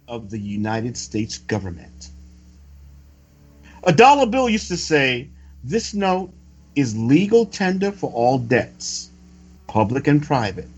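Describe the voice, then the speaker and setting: Slow, animated male religious orator, slightly high-pitched. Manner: solemn, formal, measured oratory. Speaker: religious reader delivering a prophecy report. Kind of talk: sermon-like scripted reading. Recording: dull lo-fi recording with music behind. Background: music.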